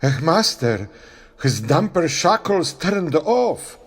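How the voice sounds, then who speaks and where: A man playing a timid, doomed underling in an audio drama, speaking in a halting, anxious, gravelly voice. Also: on the high side, sing-song, exaggerated voice. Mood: uneasy. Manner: halting, drawn-out. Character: timid, doomed male underling, hesitant and pleading.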